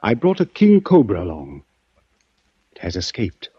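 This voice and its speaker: An actor playing a desperate, earnest doctor and snake expert in an old-time radio drama, polite, halting and pleading. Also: male voice, lowish voice, wildly swooping pitch, breathy edge, no echo, full character voice. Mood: anxious, insistent. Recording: dull, dry vintage radio recording.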